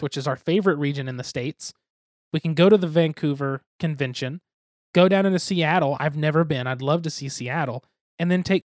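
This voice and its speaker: A man's voice: a little high, no echo, clean studio sound. A young man co-hosting a board game podcast, talking casually in a rambling, self-deprecating, jokey way. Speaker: podcast co-host.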